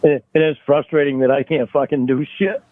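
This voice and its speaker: An upset man with a slightly high voice talks haltingly on a private call, close to tears and full of self-pity. The audio is dull and narrow, like a phone recording. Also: slight background noise. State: upset, tearful. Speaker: caller. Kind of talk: emotional private phone conversation. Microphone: headset on a recorded call.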